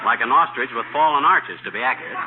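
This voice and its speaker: An actor with an American accent playing a boastful, grumbling small-town husband in an old radio comedy, slangy and indignant. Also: male voice, medium pitch, expressive, fast talker, lightly acted. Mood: chummy, wry. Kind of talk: 1940s radio comedy acting. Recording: noisy, tinny old radio broadcast.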